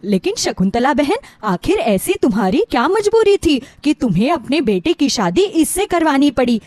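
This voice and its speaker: A woman's voice: high voice, big pitch swings, moderate pace, a little mellow, no echo. A woman voicing mocking middle-aged neighbour gossips in a story, taunting with false sweetness. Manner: taunting, mock-sweet, exclaiming.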